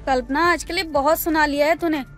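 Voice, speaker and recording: A woman playing an older, peacemaking mother-in-law, placating yet commanding, in an animated family story. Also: high-pitched, brisk, soft background music, close to an everyday voice. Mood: firm, placating. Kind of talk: animated family drama dialogue.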